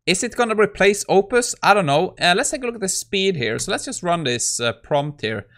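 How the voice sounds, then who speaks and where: A man's voice: high-pitched, lively intonation, brisk, a bit bright. A man in his thirties giving a casual coding walkthrough as a tech YouTuber at his desk.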